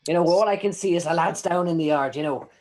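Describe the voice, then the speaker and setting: A man's voice: on the high side, fast, darkish tone, close to an everyday voice. A man voicing a chatty, cheeky imprisoned informant who rambles and stammers in old-timey folksy phrasing. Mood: rambling.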